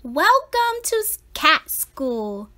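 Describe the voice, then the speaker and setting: A woman's voice: high-pitched, sing-song, slow pace, sharp tone, pitched above the performer's own voice, full character voice. A woman voicing a big, round female cat schoolteacher in a storybook, emphatic and cheerfully authoritative. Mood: cheerful, proud. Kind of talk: storybook read-aloud character.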